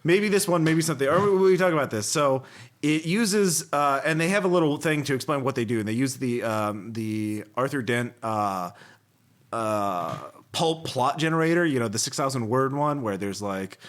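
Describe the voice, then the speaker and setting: A man hosting a casual gaming podcast, chatting in an animated, exclamatory way. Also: medium pitch, moderate pace. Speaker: podcast host.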